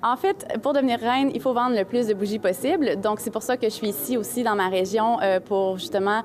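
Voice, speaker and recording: A young woman from Quebec with a Quebec French accent, answering an interview clearly and poised as a carnival duchess in a shopping mall. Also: fairly high pitch, a little fast, soft background music. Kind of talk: interview in public place. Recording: crowd noise in mall. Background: crowd chatter.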